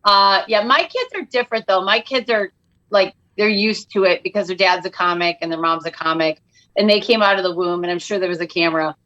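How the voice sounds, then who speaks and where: A woman's voice: mid-range voice, fairly quick, muffled recording. A middle-aged woman chatting casually as a comedian guest, acting out bits of dialogue as she tells stories. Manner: animated storyteller who acts out quoted dialogue. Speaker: podcast guest, comedian and author. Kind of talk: casual comic chat.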